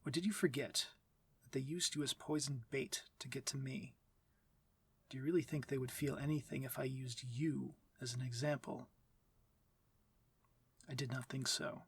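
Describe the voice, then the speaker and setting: A man playing a courtly, aloof but civil vampire master in an ASMR fantasy roleplay, speaking formally and with measured authority. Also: mid-range voice, fairly even intonation, vocal fry, slightly rough. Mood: cool, stern. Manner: formal, measured, commanding diction. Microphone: close, dry microphone.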